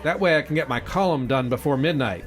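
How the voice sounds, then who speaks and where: A man's voice: mid-range voice, quick pace, a faint crowd, natural voice. A man playing a wisecracking, old-fashioned newspaper reporter in a radio drama, narrating with hard-boiled, slangy wit. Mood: wry. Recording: roomy echo, faint music behind.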